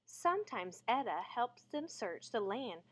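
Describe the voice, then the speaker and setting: Slow, lively, high-voiced woman reading aloud. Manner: expressive, deliberate storytelling. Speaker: storybook reader. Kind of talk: children's picture book read-aloud.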